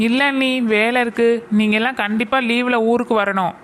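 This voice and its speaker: A woman playing a polite visiting sister and mother in an animated kids' story. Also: fairly high pitch, brisk, a little hiss or hum, natural voice.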